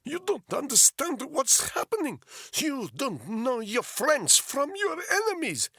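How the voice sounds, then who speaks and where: A man voicing a desperate male Russian captive who pleads and shouts in pain, with a thick Russian accent. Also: very high voice, huge pitch swings, slow pace, no room sound, studio quality, pitched above the performer's own voice, heavily acted. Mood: frantic.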